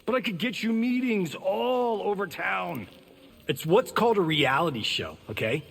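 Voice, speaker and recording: A cocky action star flirts in a husky, casual voice. The delivery is smug and lively game dialogue, ringing with long reverb. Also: male voice, high voice, moderate pace, exaggerated voice. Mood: smug, flirty. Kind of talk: dramatic video game dialogue. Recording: long reverberant hall sound.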